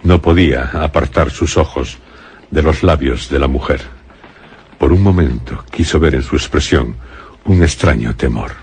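A man's voice: extremely low pitch, normal speed, darkish tone, slight background noise. A man narrating a gothic suspense radio drama in a grave, scripted style. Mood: grave, ominous. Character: grave male narrator of a gothic horror tale.

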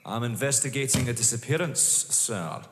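An actor playing a polite, persistent police detective in an audio drama, deferential yet probing. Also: male voice, mid-range voice, moderate pace, background noise, close to an everyday voice. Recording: reverberant hall sound.

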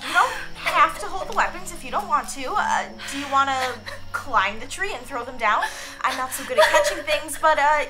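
A woman's voice: high-pitched, animated, moderate pace, music in the background, low-quality recording. A woman playing a bold, chatty thirteen-year-old stowaway in a sci-fi radio drama, breezy and curious, often hesitating. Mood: coaxing. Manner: breezy, talkative, hesitating with uhs. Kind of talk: science fiction radio drama acting.

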